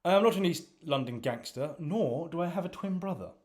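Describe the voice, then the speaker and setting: A man's voice: a little high, lively intonation, brisk, darkish tone, voice pushed up, close to an everyday voice. A man voicing a prim, pedantic man who corrects others indignantly. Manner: stiff, indignant.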